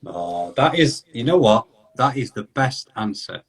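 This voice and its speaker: Guy hosting a skating podcast over a video call, mid pitch, rambly and quick in bursts. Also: lively intonation. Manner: chatty, quick bursts, lots of restarts and "you know". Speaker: podcast host and skating coach. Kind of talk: casual interview chat.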